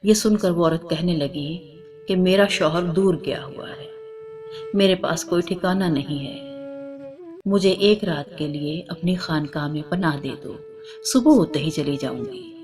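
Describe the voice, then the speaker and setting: A woman narrating a scripted religious moral story in a formal, measured way, as a storyteller. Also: fairly low pitch. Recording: music under the voice.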